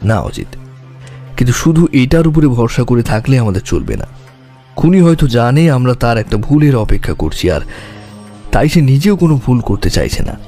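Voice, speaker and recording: Sharp male detective acted by a man, intense and deliberate, animated intonation, audio drama with music bed. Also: mid-range voice. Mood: brooding, analytical. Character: sharp, intense male detective reasoning through a murder case. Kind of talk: audio story acting.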